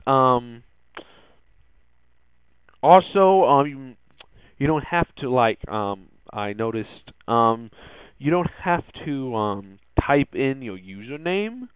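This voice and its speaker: Halting man on a tinny, phone-like line. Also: mid-range voice, smooth, dry recording. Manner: halting, hesitant delivery with repeated words. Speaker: podcast host. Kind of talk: casual tech and gaming news commentary. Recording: tinny, phone-like narrowband sound.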